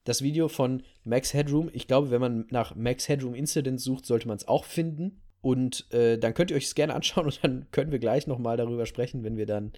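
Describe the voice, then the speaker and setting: A man's voice: medium pitch, fairly quick, hardly any pauses, darkish tone. A man hosting a talk show, telling a story in a casual, conversational way and repeating phrases for emphasis. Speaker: podcast host telling a story.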